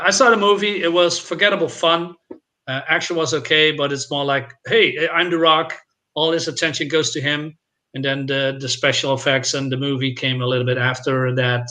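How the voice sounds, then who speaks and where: Male co-host for casual film chat, opinionated, slightly high pitch, forceful bright tone. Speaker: film discussion show co-host.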